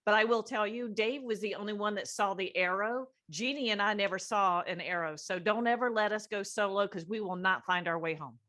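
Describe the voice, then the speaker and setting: Brief: middle-aged female co-host, bright voice, chatty and rambling. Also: medium pitch, brisk, few pauses. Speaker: podcast co-host. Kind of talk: casual interview chat.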